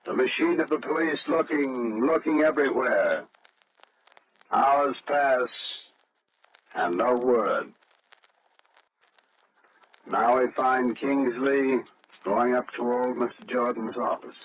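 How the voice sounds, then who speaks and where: An actor as the solemn narrator of a suspenseful radio serial, measured and dramatic, on an old recording. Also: male voice, mid-range voice, slight background noise, natural voice, poor audio.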